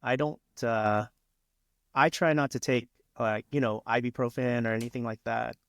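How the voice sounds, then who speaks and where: A man's voice: mid-range voice, steady tone, moderate pace, fairly bright tone, no echo, clean studio sound. Hesitant man in his forties. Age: 40s. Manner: hesitant, many ums and restarts. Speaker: podcast guest. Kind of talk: casual interview chat.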